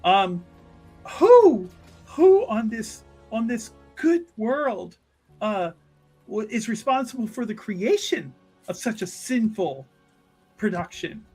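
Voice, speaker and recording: Woman playing a theatrical, coy chantry sister, unhurried. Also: on the high side, big pitch swings, a little mellow, breathy edge, soft background music, heavily acted. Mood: mock scandalized. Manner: theatrical, coy, mock-pious. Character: gossipy, playfully scandalized woman of the chantry, Sister Muriel. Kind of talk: in-character tabletop roleplay.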